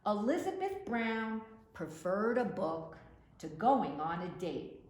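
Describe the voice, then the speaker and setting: A woman reading picture books aloud for children in an expressive, deliberate storytelling delivery, recorded in a roomy space. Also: medium pitch. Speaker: children's librarian, storytime reader. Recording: roomy echo with faint background noise.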